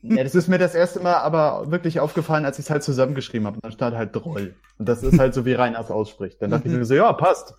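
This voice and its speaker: A man with a husky voice chatting casually in a group podcast. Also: medium pitch, fast talker, few pauses, darkish tone. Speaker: podcast participant.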